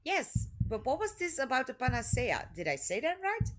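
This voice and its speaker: A woman's voice: high-pitched, huge pitch swings, moderate pace, reverberant, faint music behind. A woman in an audio drama playing a spirited, inquisitive young travelling companion, with an exclaiming, questioning delivery. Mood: probing.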